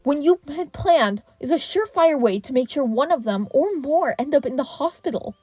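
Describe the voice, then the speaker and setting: Stern, indignant male teacher, played by a woman narrator; commanding scolding, high-pitched, close dry sound. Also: lively intonation, normal speed, dry recording. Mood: fuming. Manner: sharp, commanding, lecturing.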